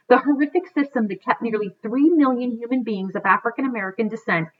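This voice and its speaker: Middle-aged woman reading aloud, dull lo-fi sound. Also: mid-range voice. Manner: careful reading with stumbles and self-corrections. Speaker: teacher reading a book aloud to students. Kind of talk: read-aloud of a history book. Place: living room at home, indoors. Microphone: computer webcam microphone at desk distance.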